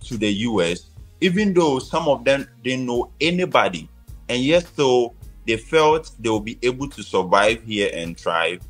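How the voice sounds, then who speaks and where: A man's voice: medium pitch, a bit bright. A man from Ghana with a Ghanaian accent gives his opinions conversationally as a guest, emphatically and often checking in with "right?". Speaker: interview guest.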